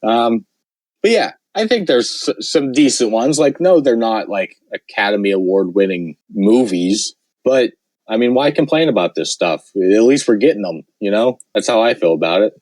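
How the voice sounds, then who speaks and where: Low-voiced man, hoarse, rambling chat. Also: expressive, normal speed, a little mellow, no room sound. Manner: rambling, lots of fillers. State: losing his voice. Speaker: podcast host. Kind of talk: casual chat.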